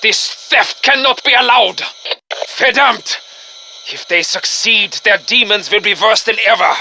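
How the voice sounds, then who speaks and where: Game villain: German-accented Nazi commander of the undead, piercingly bright and forceful, slow and gloating, male. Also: sits high, slight background noise, heavily acted. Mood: outraged. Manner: menacing, pompous, gloating. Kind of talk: theatrical video game villain acting.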